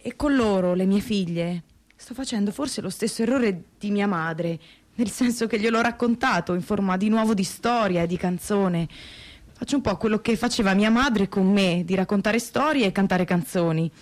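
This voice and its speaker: Mid-pitched woman reminiscing conversationally. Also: moderate pace. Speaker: mother. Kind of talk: personal reminiscence.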